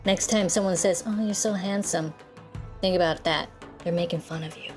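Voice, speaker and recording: A game master voicing a scornful, sharp-tongued young woman who mocks and dismisses others. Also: mid-range voice, steady tone, moderate pace, faint music behind, pitched above the performer's own voice, lightly acted. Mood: dismissive. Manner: sneering, dismissive taunts.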